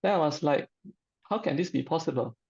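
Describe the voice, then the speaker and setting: A middle-aged man with a Malaysian accent, giving an informal personal testimonial in a halting, hesitant way. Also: a little high, gravelly, darkish tone, breathy edge, highs cut off.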